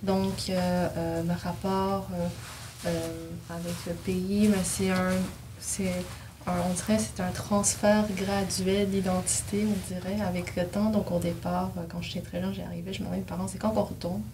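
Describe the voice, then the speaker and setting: A woman's voice: on the low side, flat delivery, normal speed. A woman from China giving a personal account in a group discussion, hesitant and often searching for words. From China, immigrated to Canada at seven. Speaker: dialogue participant. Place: group gathering, filmed discussion. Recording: distant mic, clear background noise.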